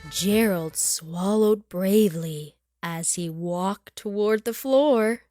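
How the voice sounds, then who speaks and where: A woman narrating a children's storybook in rhyme, with an expressive, deliberate and rhythmic delivery. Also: mid-range voice, no echo, faint music behind. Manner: deliberate, rhythmic verse reading. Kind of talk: expressive scripted read-aloud for young children.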